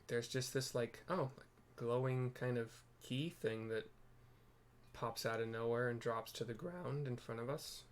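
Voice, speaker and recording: A man's voice: mid-range voice, measured pace. Man casually talking through video game play. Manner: rambling, thinking aloud as he explains. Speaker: gameplay commentator. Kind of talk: casual unscripted game commentary.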